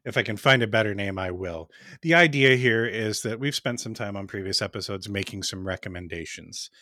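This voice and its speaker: A man hosting a podcast in casual chat, with a smooth voice and a rambling, laughing way of talking. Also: fairly low pitch, brisk, sharp tone, no room sound, clean studio sound. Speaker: podcast host.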